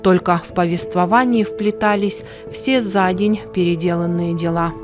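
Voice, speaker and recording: A woman's voice: fairly low pitch, steady tone, moderate pace, music in the background. A woman reading scripted documentary narration as the narrator.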